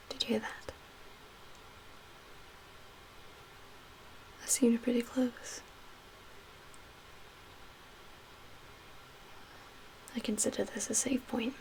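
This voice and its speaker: A woman playing a calm, guarded survivor leader in a soft-spoken ASMR roleplay, half-whispering in a measured, reassuring way with pauses. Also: fairly high pitch, natural voice, poor audio. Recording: audible background noise.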